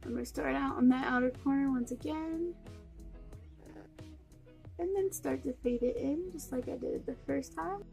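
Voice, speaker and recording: A woman's voice: high-pitched, normal speed, gravelly, pauses often, dark tone, soft background music. A young woman with a cold and a stuffy nose, chatting through a makeup tutorial step by step. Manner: chatty, friendly step-by-step explaining. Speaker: beauty vlogger.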